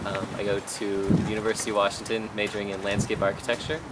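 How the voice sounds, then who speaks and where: Flat-voiced young man, windy mic. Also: fairly low pitch, fast talker. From Nevada, United States. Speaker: landscape architecture student. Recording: wind noise on the mic.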